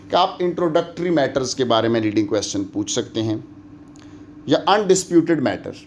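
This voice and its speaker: A man with a Pakistani accent giving an explanatory lecture in a didactic way, mixing in English phrases that he says more slowly. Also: medium pitch, a little mellow, slight background noise. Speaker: law lecturer. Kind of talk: explanatory lecture, switching between Urdu and English.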